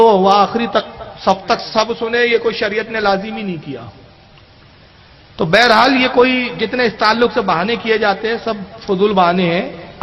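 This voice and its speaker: Man, high-pitched, emphatic sermon delivery, argumentative, dull low-fidelity recording. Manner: emphatic, argumentative, with rhetorical questions. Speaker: Islamic cleric. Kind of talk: religious question-and-answer sermon. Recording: dull, lo-fi audio.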